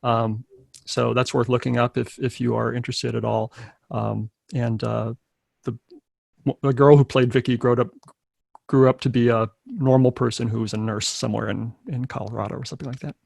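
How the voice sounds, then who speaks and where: A man narrating stage directions and hosting a table read in a low-key, casual way. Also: steady tone, moderate pace, gravelly, darkish tone, dry recording.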